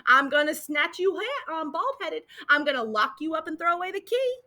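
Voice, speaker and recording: A woman plays a boastful, none-too-bright cowboy sheriff from a storybook. The voice is high and bright and swings widely in pitch. The American Old West talk is drawled and folksy, with every line played up as a tall tale. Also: very animated, moderate pace, exaggerated voice. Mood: blustering.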